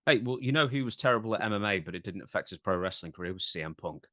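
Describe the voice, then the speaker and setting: A man's voice: lowish voice, lively intonation, very fast, bright, forceful tone, no echo, studio quality. A man co-hosting a podcast, reading out news and discussing it in a casual, slightly hesitant, questioning way. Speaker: podcast co-host.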